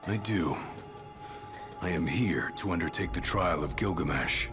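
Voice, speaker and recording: An actor playing a gruff, brash young royal bodyguard in a video game, with blunt, cocky tough talk. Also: male voice, low-pitched, unhurried. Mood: defiant, determined. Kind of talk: video game cutscene acting. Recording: dramatic game music behind.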